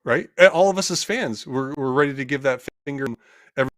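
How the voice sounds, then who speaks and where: A man co-hosting a casual sports discussion, his voice middle-pitched and ordinary. He rambles, restarts and hedges as he thinks aloud. The recording is dry and close. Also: moderate pace, a bit bright, dry recording. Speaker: co-host.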